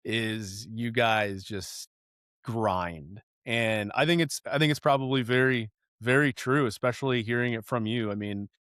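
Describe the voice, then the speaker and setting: Man, podcast host, mid-pitched voice, casual interviewing, dry close recording. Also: unhurried, fairly bright tone, no room sound.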